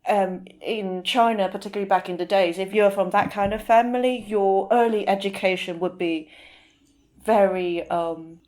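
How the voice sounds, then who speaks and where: A woman speaking as an interview guest, explaining things informally and sometimes pausing to search for the right word. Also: medium pitch, darkish tone.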